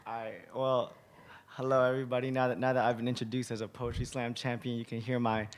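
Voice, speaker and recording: Hoarse, raspy man at a panel. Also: mid-range voice, little pitch movement, quick pace. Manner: casual, many restarts and repeated words. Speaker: museum curator, panelist. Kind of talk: conference panel talk. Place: large conference hall. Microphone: table mic on a stand.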